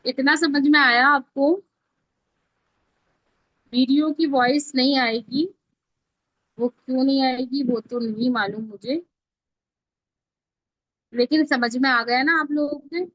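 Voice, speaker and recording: A woman's voice: sits high, fairly even intonation, takes it slowly, pauses often, no echo. A woman instructor giving an informal step-by-step tutorial, often checking that listeners are following along. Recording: dull, lo-fi, dry close audio.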